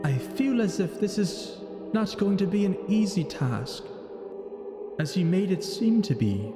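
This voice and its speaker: A man's voice: sits high, expressive, a little slow. A man voicing a lonely, brooding thousand-year-old vampire who narrates his tale in solemn, formal, theatrical phrasing. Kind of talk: scripted in-character story narration over gameplay. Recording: echoing hall-like reverb, music behind. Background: music.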